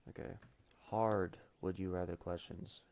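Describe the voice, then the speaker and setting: A man's voice: low voice, steady tone, measured pace, a little mellow, slight background noise. Laid-back teenage boy streamer. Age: teens. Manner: mumbly, laid-back, trails off with fillers. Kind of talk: casual livestream chat.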